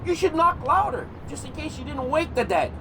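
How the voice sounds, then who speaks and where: A high, wildly swooping voice for a sarcastic male monster hunter acted by a man in comedy horror audio. He is exasperated and exclamatory, forever needling. There is clear noise behind him. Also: sing-song, a little fast, heavily acted.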